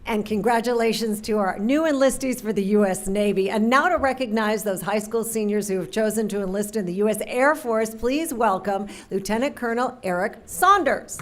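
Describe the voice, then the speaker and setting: A woman's voice: medium pitch, normal speed, few pauses. A woman emceeing a ceremony, making formal introductions. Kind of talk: formal announcements.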